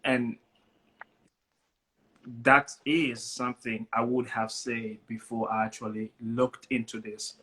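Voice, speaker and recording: A man talking as a guest in a live conversation, relaxed and hesitant, often repeating a word before he gets going. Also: mid-range voice, takes it slowly, long pauses, rough recording. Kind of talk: casual heartfelt conversation. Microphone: live stream device mic.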